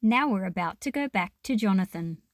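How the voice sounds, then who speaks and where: A woman's voice: medium pitch, expressive, fairly quick, no room sound. A woman reading a children's picture book aloud expressively, with explanatory asides to young listeners.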